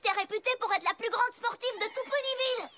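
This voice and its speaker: A woman's voice: extremely high pitch, fairly quick, very noisy. A woman voicing a brash, sporty young female flying pony in a cartoon, slangy and impatient. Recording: cartoon music behind the voice.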